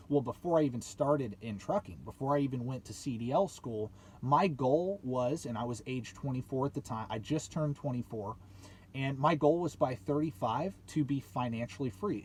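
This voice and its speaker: A man's voice: mid-range voice, lively intonation, moderate pace, a little mellow. Emphatic young man vlogging over background noise. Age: late 20s. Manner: emphatic, repeats points, rhetorical questions. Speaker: YouTube channel host. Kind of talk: motivational vlog talk to viewers.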